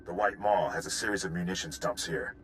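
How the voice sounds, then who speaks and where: A man's voice: low voice, brisk, a bit bright, slight background noise. A man playing a stoic, gung-ho sergeant in a sci-fi video game, clipped and military. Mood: brisk. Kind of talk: video game cutscene acting.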